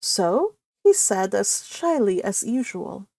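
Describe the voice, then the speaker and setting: A woman reading a children's novel aloud as an expressive storybook narrator. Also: medium pitch, slow, no echo, studio quality. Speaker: audiobook narrator.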